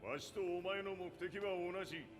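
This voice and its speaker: A man's voice: high-pitched, fast talker, close to an everyday voice. A man playing an imperious, ruthless old warlord patriarch in a video game, speaking in an archaic, commanding manner. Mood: scornful, commanding. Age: older. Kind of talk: dramatic video game cutscene acting. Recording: long hall reverb, music underneath.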